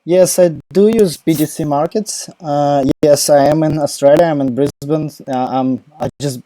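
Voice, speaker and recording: A young Ukrainian-accented man answers questions live from home into his laptop microphone. His voice is very dark and mellow, and the sound is dry and close. He rambles casually, full of fillers and false starts. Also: a little high, fairly even intonation, moderate pace, dry recording. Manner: rambling, many fillers and restarts. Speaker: crypto live Q&A host. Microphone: laptop mic.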